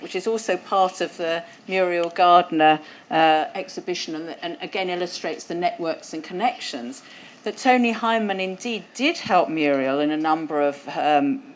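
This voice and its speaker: A woman's voice: lowish voice, normal speed. A woman hosting a museum event and introducing speakers, talking conversationally with some fillers into a lapel mic. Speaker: event host. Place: event hall.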